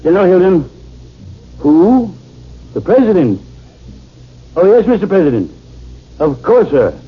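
An actor playing an army general with a commanding manner in a 1950s radio drama. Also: male voice, high voice, sing-song, a little slow, slightly rough, exaggerated voice. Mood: urgent, dutiful. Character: brisk commanding male army general, gentle with the sick. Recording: dull, noisy old 1950s radio recording.

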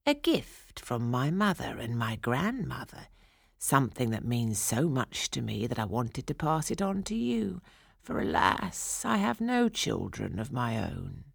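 A woman voicing a wicked old fairy, sly and falsely sweet, in a slow, gloating storybook manner. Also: rumbling low, very animated, gravelly, slightly rough, no echo, studio quality, full character voice. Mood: sly, falsely kind.

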